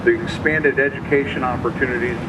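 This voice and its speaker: A man's voice: mid-range voice, steady tone, brisk. A man, an army veteran, gives a short, hesitant, plain-spoken statement at an outdoor event. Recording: distant mic, loud outdoor noise.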